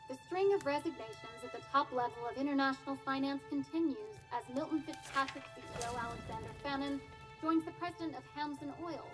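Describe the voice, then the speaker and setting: In-game news: actress as a high, crisp anchor heard from a distant set, music under. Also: female voice, fast, natural voice. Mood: newsy. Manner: crisp newsreader delivery. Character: brisk female TV news anchor. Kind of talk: broadcast news reading. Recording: distant broadcast over music.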